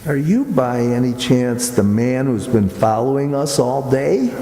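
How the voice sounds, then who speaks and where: A man's voice: medium pitch, lively intonation, unhurried, dark tone. A man playing an earnest young husband, echoing hall. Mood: suspicious. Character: earnest, impetuous young husband, wary and easily flustered. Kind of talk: amateur radio drama acting. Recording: echoey hall, some background noise.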